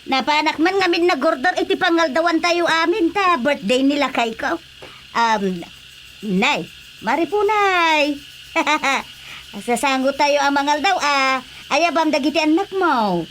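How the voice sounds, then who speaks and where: A woman acts a boastful, chummy neighbour in a Filipino radio comedy. Her voice is high, with a Filipino accent, full of gushing drawn-out exclamations and teasing, over some background noise. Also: animated, a bit bright. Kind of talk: radio drama comedy acting.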